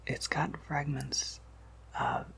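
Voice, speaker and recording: Guy doing a soft whispery vampire shopkeeper for asmr, super crackly voice, polite n a bit scattered, takes his time. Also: level tone, slow pace, heavily acted. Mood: inviting, reassuring. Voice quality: breathy whisper breaking into creaky low murmur. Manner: gentle, considerate, hesitant with small asides. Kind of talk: whispered ASMR roleplay addressed to the listener.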